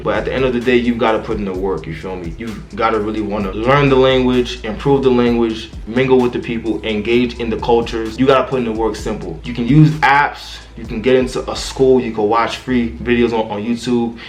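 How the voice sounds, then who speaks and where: Laid-back Haitian Creole-native man, roomy echo. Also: mid-range voice. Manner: slangy, laid-back street talk with "you feel me". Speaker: YouTuber giving language tips. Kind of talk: casual vlog talk.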